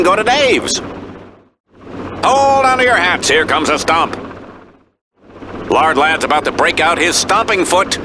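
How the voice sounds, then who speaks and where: Pompous cartoon news anchor, kinda high voice, really animated sportscaster style, sardonic, noisy background. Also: male voice. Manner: pompous, sardonic, theatrical announcer delivery. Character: pompous middle-aged male TV news anchor commentating on disaster. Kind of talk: mock live news and sports commentary.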